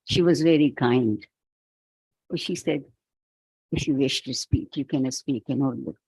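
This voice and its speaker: Pakistani guy giving a keynote, slow and thoughtful, soft mellow voice, dry sound. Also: mid-range voice, no echo, low-quality recording. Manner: slow, measured, philosophical, halting. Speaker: keynote speaker. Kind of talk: reflective keynote talk. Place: event hall.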